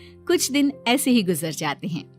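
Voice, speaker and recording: A woman's voice: mid-range voice, sing-song, measured pace, faint music behind. A woman narrating a scripted children's story as a storyteller.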